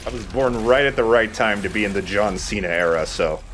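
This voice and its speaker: A young man with a fairly low voice chats casually as he hosts game commentary. He talks in a rambling, joking way. There is some noise behind him. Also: fairly quick. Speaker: Let's Play host and commentator. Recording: clear steady background noise.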